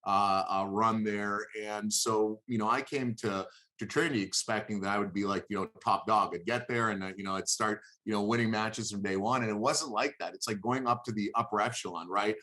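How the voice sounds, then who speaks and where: Guy in his 30s, native hebrew speaker, lowish voice, chatting in an interview, kinda halting and repeats himself. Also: fairly even intonation, pushed and bright, rough recording. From born in Israel, lived there until seven. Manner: halting, repeats words as he thinks aloud. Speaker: interview guest, former college wrestler. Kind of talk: conversational interview answers.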